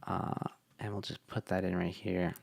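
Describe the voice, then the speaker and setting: A young man talking through a casual coding tutorial, relaxed and thinking aloud with fillers, his voice tired from long meetings. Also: deep voice, moderate pace, creaky, dry recording. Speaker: web developer.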